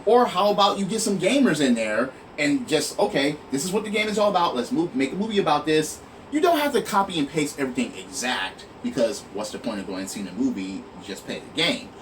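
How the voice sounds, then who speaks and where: Male online host, fairly high and very animated, emphatic exasperated rant with swearing, recorded off-mic with audible noise. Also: brisk. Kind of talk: opinionated rant to viewers. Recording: noisy, distant mic, room sound.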